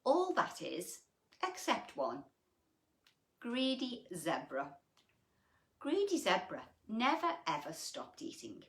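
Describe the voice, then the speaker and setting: A woman reading a bedtime picture book to children, with gentle, chatty asides and questions to her young listeners. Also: a little high, huge pitch swings, slow, creaky, pauses often, echoey room, slight background noise.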